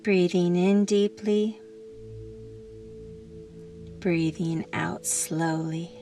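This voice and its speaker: A woman leading a guided meditation in a gentle, soothing, unhurried manner, leaving long pauses between phrases. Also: lowish voice, steady tone, soft background music.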